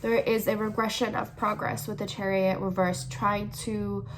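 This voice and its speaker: A woman's voice: mid-range voice, level tone, normal speed. A woman with a stuffy, congested voice, chatting casually as she gives a tarot reading to her channel's viewers and thinks aloud as she goes. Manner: chatty, thinks aloud, repeats "all right". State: congested, sniffling. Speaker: tarot reader, channel host.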